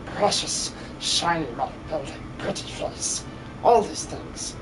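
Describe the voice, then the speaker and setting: A man voicing a greedy, fawning, treasure-obsessed creature in a game dub, muttering and hissing with a breathy voice. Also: fairly high pitch, expressive, unhurried. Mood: sly, resentful. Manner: hissing, muttering, repeats yes and precious. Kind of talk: amateur video game dub.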